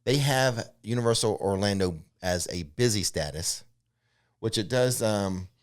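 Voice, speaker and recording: Low-voiced man chatting casually. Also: unhurried. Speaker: co-host answering viewer questions. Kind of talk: casual unscripted theme park news chat. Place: studio.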